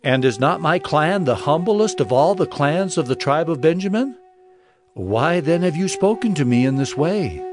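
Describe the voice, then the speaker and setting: A man's voice: on the high side, animated, moderate pace, over music, natural voice. An actor playing an earnest, humble young Israelite man in a dramatized audio Bible, with a questioning manner.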